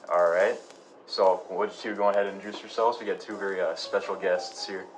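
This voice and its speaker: A man's voice: deep voice, fairly even intonation, a little fast, over music, lightly acted, poor audio. A man playing a polite, curious radio show host in an acted interview, conversational and prompting. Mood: polite, casual.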